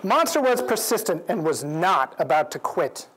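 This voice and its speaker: A man in his forties reading a picture book aloud to children in an expressive, measured storytelling way. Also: sits high. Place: indoors, library event room. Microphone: lapel. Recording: clear background noise in room.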